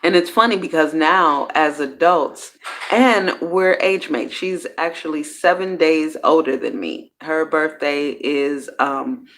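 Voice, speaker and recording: A woman's voice: low-pitched, slow. A woman hosting her own personal growth series, talking casually and candidly. She uses slang, swears freely, and often trails off and restarts her sentences. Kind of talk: casual reflective monologue.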